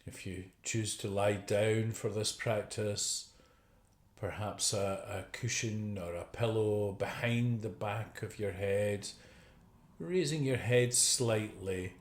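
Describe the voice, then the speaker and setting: A man leading a guided meditation as a teacher, speaking gently and unhurriedly with long pauses. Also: fairly low pitch. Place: indoor room. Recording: roomy, echoey video call recording.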